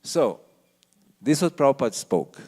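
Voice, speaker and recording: A man with a low voice giving an emphatic lecture on a handheld mic, asking the audience questions as he goes through slides. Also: sing-song, normal speed, dark tone. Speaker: visiting speaker giving a temple talk. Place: temple hall with an audience.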